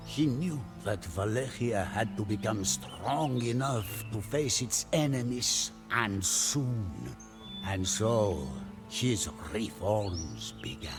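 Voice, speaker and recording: Solemn traveler narrating a game campaign, really slow n dramatic, grave guy, music under it. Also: medium pitch. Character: solemn male traveler recounting a warlord's grim history. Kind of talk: dramatic scripted storytelling.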